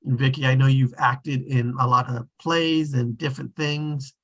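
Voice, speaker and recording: A man with a mid-pitched, somewhat husky voice hosts a casual group discussion. He speaks loosely and rambles, letting thoughts trail off. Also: flat delivery, unhurried, fairly bright tone. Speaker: discussion host.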